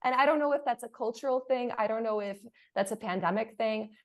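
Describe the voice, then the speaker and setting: A woman's voice: on the high side, a little fast. Woman reflecting aloud in a panel chat. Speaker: panelist, theatre artist. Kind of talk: casual panel discussion.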